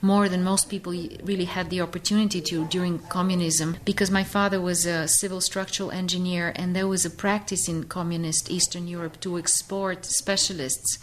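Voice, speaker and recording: A middle-aged woman with a Bulgarian accent, giving a thoughtful, unhurried radio interview as a guest educator, pausing often with fillers. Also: lowish voice, level tone, slight background noise. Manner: thoughtful, many fillers and pauses.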